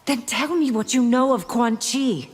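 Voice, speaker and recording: Woman playing a high-voiced, determined warrior daughter. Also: measured pace, long reverb tail, heavy background noise, close to an everyday voice. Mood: insistent. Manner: earnest, insistent. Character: determined, distrustful young warrior daughter in fighting game. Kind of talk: video game fighter dialogue.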